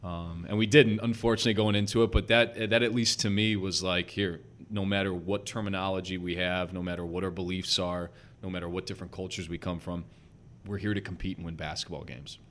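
A young man with a slight Chicago accent, a basketball head coach talking earnestly and quickly in a casual podcast interview, with lots of fillers. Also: low-pitched, fairly even intonation, a bit bright. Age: young adult. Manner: earnest, talks fast with many fillers.

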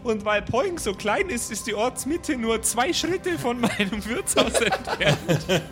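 A man's voice: very high-pitched, very animated, slow. A man playing in an improvised tabletop game, talking in character in a playful, colloquial way. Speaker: player. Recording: music behind the voice. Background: music.

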